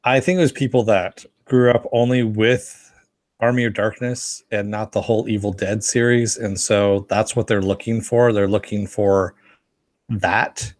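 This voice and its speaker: Guy with a lowish voice co-hosting a podcast, just chatting, no echo at all. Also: fairly even intonation, unhurried. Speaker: podcast co-host reading listener comments. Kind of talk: casual fan podcast discussion.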